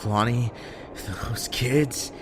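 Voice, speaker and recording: A man playing a guilt-ridden, self-loathing young survivor in an emotional audio drama, with a halting, bitter delivery. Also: mid-range voice, a bit bright. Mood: anguished, bitter. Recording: prominent music behind the voice.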